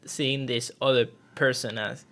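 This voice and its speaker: Male podcast host, bright forward voice, mid pitch, casual interviewing. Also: steady tone, measured pace. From Venezuela. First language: Spanish.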